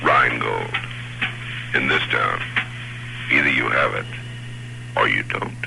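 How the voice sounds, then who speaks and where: A man reading a scripted beer commercial voice-over with a slow, weighty delivery. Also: a bass voice, expressive, vocal fry, full character voice. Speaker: commercial announcer. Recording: noisy 1960s radio broadcast.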